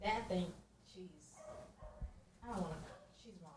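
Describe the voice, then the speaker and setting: A woman's voice: medium pitch, unhurried, darkish tone. A woman chatting casually and giving her opinions as a podcast panelist, recorded with echo and away from the mic. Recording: echoey, distant from the mic.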